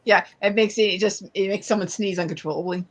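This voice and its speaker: A woman joins in a roleplay game as a player. She talks fast and casually, in a voice of average pitch. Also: fairly even intonation.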